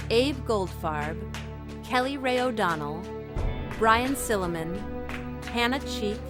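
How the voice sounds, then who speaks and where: Unhurried woman announcer over loud music. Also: medium pitch. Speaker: credits announcer. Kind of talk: scripted credits read. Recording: prominent theme music behind.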